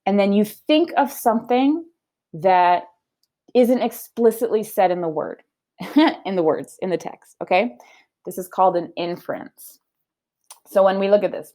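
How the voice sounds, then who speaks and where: A woman in her thirties, a teacher, reading a storybook aloud to children at home and explaining reading skills in a teacherly way. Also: medium pitch. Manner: warm teacherly, explaining and questioning. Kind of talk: children's read-aloud lesson. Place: home room.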